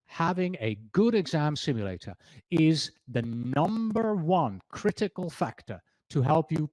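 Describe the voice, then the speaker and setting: A man's voice: a little high, darkish tone, no room sound. A man from Switzerland with a Swiss German accent, presenting an exam prep lesson in a chatty way, reading quotes from his slides and correcting himself as he goes.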